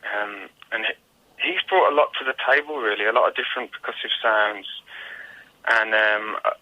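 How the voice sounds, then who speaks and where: A British man speaking as a musician guest in a casual interview, thoughtful, modest and measured in how he talks. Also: fairly low pitch, dull audio. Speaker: guest singer-songwriter.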